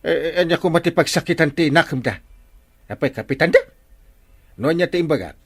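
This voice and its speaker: An actor playing a stubborn, dismissive village captain in a radio comedy drama, with an Ilocano Filipino accent and a gruff, exclamatory manner. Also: male voice, a little high, animated, fairly quick. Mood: dismissive, indignant.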